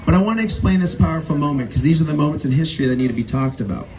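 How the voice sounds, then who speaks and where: A man emceeing a rally, speaking with mounting passion and building to loud climaxes. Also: on the high side, expressive, racing, low-quality recording. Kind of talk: rally speech and introduction. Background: crowd.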